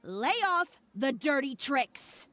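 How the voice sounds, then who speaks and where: A woman's voice: sits high, expressive, takes it slowly, pushed and bright, dry recording, heavily acted. A woman playing a haughty young woman in an anime game, cool, cutting and composed in delivery. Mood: scornful, stern. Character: haughty, sharp-tongued young woman who scolds troublemakers.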